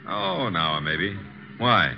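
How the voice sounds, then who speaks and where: An actor playing a breezy, sardonic detective's assistant and narrator in a 1950s American radio drama, slangy and teasing. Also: male voice, sits low, huge pitch swings, slow, gravelly, heavily acted. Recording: dull, noisy 1950s radio recording.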